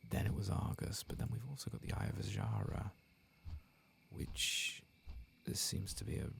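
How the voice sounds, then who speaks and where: Male ASMR game streamer: deep, heavily creaky, hushed near-whisper commentary over clearly noisy ambience. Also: wildly swooping pitch, normal speed. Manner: hushed, often drifting into whisper. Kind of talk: soft-spoken ASMR gameplay commentary.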